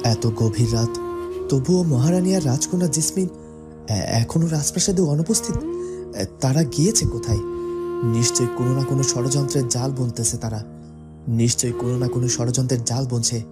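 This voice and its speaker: Man playing an anxious cartoon prince, loud music. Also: mid-range voice, lightly acted. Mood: worried, suspicious. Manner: theatrical, halting, worried. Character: anxious, devoted young prince, suspicious. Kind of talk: Bengali cartoon fairy-tale voice acting.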